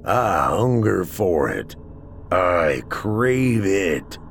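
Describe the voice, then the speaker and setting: Man playing a slow, eerie possessed man. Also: deep voice, big pitch swings, creaky, a little hiss or hum, exaggerated voice. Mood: craving. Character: possessed, expressionless man, hungry and menacing. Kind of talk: horror audiobook character acting.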